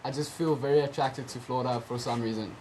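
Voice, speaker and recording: A teenage man with a South African accent and Afrikaans as his first language talks over a video call. He answers casually and haltingly, filling pauses with ums. A faint hum of background noise sits under his voice. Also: mid-range voice, fairly even intonation, a little mellow, poor audio. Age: teens. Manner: hesitant, lots of ums, friendly. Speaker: guest, junior tennis player.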